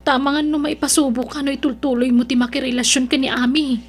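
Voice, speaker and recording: An actress playing a nosy, concerned female friend in a Filipino radio drama, with a husky voice. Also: high voice, little pitch movement, fast talker. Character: nosy, concerned woman friend giving warnings.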